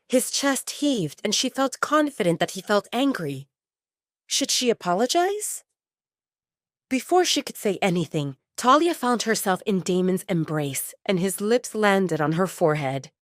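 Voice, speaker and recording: 抑揚豊かな女性のドラマ朗読. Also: female voice, medium pitch, no room sound, studio quality. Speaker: audio drama narrator. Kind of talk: scripted romance fiction narration.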